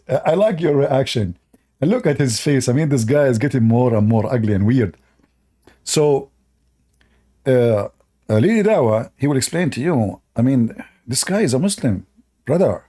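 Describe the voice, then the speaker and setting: A man's voice: medium pitch, expressive, measured pace, long pauses, warm tone. Sarcastic man mocking a clip, laughing. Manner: sarcastic, laughs often, broken English grammar. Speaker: reaction video host. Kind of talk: mocking reaction commentary.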